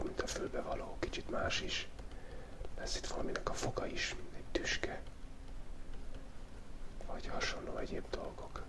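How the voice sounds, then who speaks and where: A man whispering through a playful ASMR drawing session, thinking aloud as he sketches. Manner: soft unvoiced whisper throughout.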